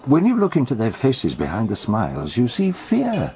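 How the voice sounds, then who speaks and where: A man with a British accent acting in a radio drama as a brooding, enigmatic storyteller who recounts crimes with deliberate, theatrical phrasing. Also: medium pitch, wildly swooping pitch, mellow, slight background noise. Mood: brooding, evocative.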